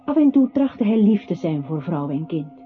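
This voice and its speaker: An actress playing a narrating voice in a literary radio play, observing a composer who withdraws into silence. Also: female voice, fairly low pitch, sing-song, moderate pace, soft background music. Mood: sombre. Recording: muffled, noisy old radio recording.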